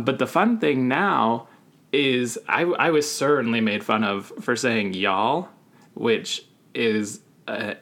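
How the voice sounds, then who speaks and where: Southern-raised guy cohosting a podcast over a video call, gravelly low-ish voice, thoughtful and chill. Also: fairly bright tone. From US Deep South. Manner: reflective, laughs often. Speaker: podcast co-host. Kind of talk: casual chat.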